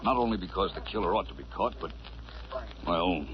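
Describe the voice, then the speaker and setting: An actor playing a worried, earnest construction boss in 1940s radio drama style. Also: male voice, sits low, lively intonation, brisk. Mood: earnest, grateful. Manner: earnest, insistent. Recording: muffled old radio recording, clear hiss.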